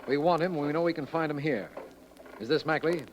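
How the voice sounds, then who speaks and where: An actor playing a tough, confident district attorney with an authoritative, clipped delivery in 1940s radio style. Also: male voice, on the high side, brisk, natural voice. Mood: firm. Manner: authoritative, clipped, hard-boiled. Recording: noisy old radio recording.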